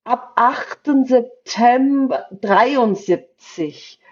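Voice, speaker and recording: A woman's voice: a little high, drawn-out words, a little mellow, husky. A woman chatting casually as a co-host on a fan podcast, recorded a little lo-fi. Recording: slightly dull, lo-fi microphone sound.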